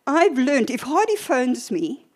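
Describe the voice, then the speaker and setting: An older woman preacher teaching a church sermon in a deliberate, emphatic way, with short pauses and repeated phrases. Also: high voice, very animated.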